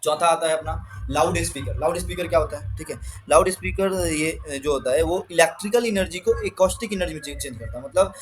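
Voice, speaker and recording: A man's voice: a little high, brisk, a little hiss or hum. A man with an Indian accent giving an informal technical lesson, explaining things repetitively with frequent check-in tags. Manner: mixes Hindi with English technical terms. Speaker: instructor presenting an explainer video.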